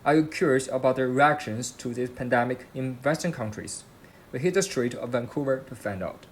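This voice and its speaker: A male host speaks in an even, mid-pitched voice. He asks people on the street friendly, direct questions, and faint noise sits behind him. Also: a little fast. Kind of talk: upbeat video intro and interview questions.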